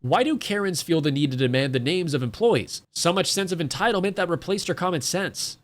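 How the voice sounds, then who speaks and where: A man's voice: fairly high pitch, expressive, a little fast. A man narrating a compilation video with sarcastic, mocking commentary. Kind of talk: scripted commentary voice-over.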